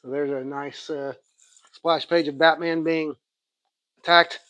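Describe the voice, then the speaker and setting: A man's voice: fairly high pitch, fairly even intonation, measured pace, bright, forceful tone, smooth, no echo. A man with an Oklahoma accent, rambling and thinking aloud as he casually shows off and reviews what he got. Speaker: comics channel host. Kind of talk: casual show-and-tell haul review.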